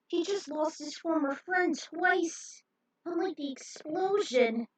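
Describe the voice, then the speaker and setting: A woman's voice: on the high side, poor audio. A woman reading a story aloud and adding casual commentary of her own. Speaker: narrator of a fan fiction reading.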